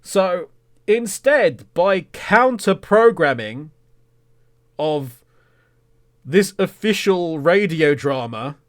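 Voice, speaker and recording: A man's voice: sits high, big pitch swings, drawn-out words, long pauses. A man hosting a podcast in casual solo talk, with a rambling, self-deprecating and mock-indignant humour. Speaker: podcast host.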